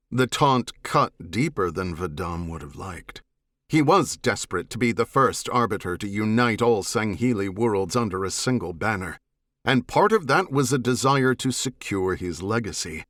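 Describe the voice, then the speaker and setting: A man narrating a science fiction audiobook in a measured, serious and dramatic manner. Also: mid-range voice, a bit bright, dry recording, clean studio sound.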